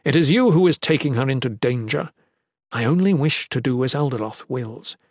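An imperious, unyielding commander acted by a male narrator speaks with formal, forceful scorn in a fantasy audiobook. His voice rises above the reader's usual pitch, in a dry recording. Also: lively intonation, moderate pace, no room sound, lightly acted. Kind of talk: fantasy audiobook character reading.

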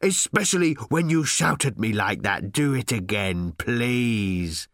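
A man's voice: medium pitch, expressive, unhurried, fairly bright tone, no room sound, studio quality. A man voicing a small flying robot that fawns over everyone, breathless and swooning with delight. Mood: gushing. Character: gushing little flying robot, fawning, ecstatic.

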